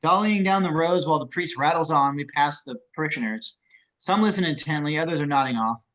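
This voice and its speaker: A man's voice: a little high, brisk, a bit bright, muffled recording. A man narrating screenplay action descriptions as scripted narration. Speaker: narrator of stage directions.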